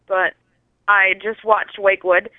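A woman's voice: mid-range voice, little pitch movement, measured pace. A woman from Michigan leaving a casual message as a caller, heard over a phone line. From Michigan, United States. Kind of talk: casual voicemail. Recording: tinny, narrow-band phone line.

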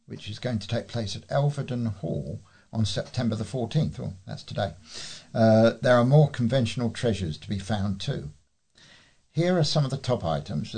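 A man reading local news articles aloud as a volunteer reader, informal and stumbling now and then, with a slightly husky voice. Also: lowish voice, a little mellow.

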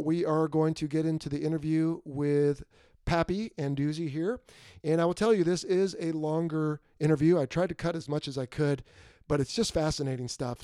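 A man's voice: on the high side, a little mellow, clean tone, no room sound, clean studio sound. A middle-aged man hosting a podcast, speaking in a casual, conversational way as he presents and interviews. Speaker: podcast host.

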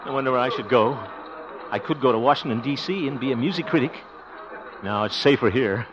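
An actor playing a flustered, henpecked bachelor in a 1950s radio sitcom, blustering, stammering and chuckling. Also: male voice, mid-range voice, brisk, crowd noise, lightly acted. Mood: nervous musing. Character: flustered, henpecked small-town bachelor, self-congratulating. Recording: noisy, muffled old radio recording.

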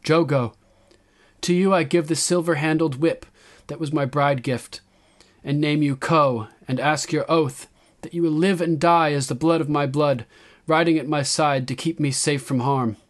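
A man's voice: on the high side, steady tone, moderate pace, voice pushed up, natural voice. A resolute young queen, acted out by a male audiobook reader, speaks in a raised, projected voice. She is regal and solemn, declaiming to her followers with firm command. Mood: commanding, ceremonial. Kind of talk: audiobook character acting.

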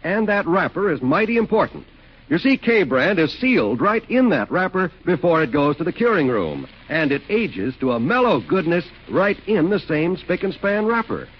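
A man announcing in an emphatic, folksy 1940s radio sales style with a husky voice. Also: fairly high pitch, big pitch swings, normal speed. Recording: muffled 1940s radio recording.

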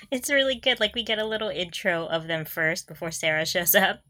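A woman with a bright, sharp voice and very lively pitch movement, recorded close and dry. She chats casually as a podcast co-host, expressive and quick to react. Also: dry recording. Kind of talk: casual chat.

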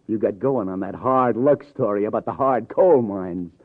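A man's voice: on the low side, unhurried, husky, dry recording. An actor playing a wry, hard-boiled New police detective in a 1950s radio crime drama, with sardonic, clipped tough-guy delivery. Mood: sardonic, accusing. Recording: tinny old radio broadcast, faint music.

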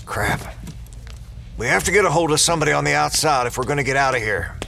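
A man playing a steady, earnest small-town sheriff in an audio drama. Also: on the high side, fast, gravelly, slight background noise, full character voice. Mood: tense, urgent. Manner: earnest, practical.

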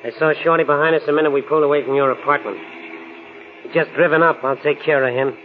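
Actor as fast-talking, menacing radio gangster. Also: male voice, a little high, fairly even intonation, a little hiss or hum, lightly acted. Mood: smug, threatening. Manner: tough slangy talk, threatening. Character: menacing, sneering male gangster, sure of himself. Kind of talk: 1940s radio acting. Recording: tinny, narrow old radio recording.

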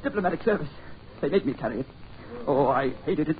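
An actor playing a frantic, terrified diplomat in a 1940s radio horror drama. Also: male voice, fairly high pitch, animated, fast, natural voice. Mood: frantic, desperate. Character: frantic, terrified diplomat urging use of a gun. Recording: muffled, noisy old radio recording.

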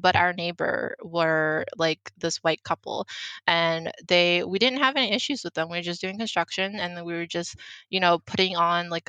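A woman's voice: on the low side, sharp tone, no room sound, low-quality recording. A young woman whose first language is Mandarin, telling a story in casual chat, full of "like" fillers and acted-out quotes. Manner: chatty, lots of "like" fillers, acts out quotes.

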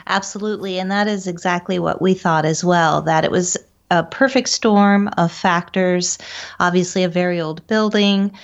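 A woman's voice: on the low side, steady tone, normal speed, highs cut off. A woman speaking as an interview guest, recounting experiences in a conversational, explanatory way. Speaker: interview guest, paranormal researcher.

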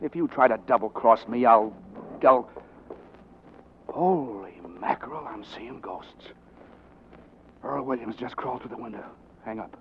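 An actor playing a brash, wisecracking, quick-witted newspaper reporter, urgent and evasive, in 1940s radio drama style. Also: male voice, mid-range voice, close to an everyday voice. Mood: tense. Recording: dull, noisy 1940s radio recording.

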